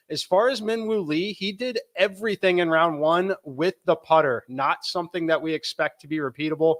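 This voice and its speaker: A man giving golf betting analysis in a measured, analytical way. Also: a little high, fairly bright tone. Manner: measured, analytical, explains his pick.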